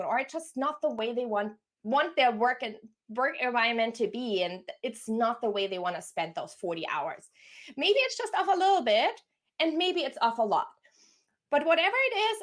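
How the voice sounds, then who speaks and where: High voiced woman from germany, super enthusiastic, chatting away as she hosts an online career workshop. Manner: enthusiastic, effusive. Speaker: career coach hosting a workshop. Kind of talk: live online workshop, conversational.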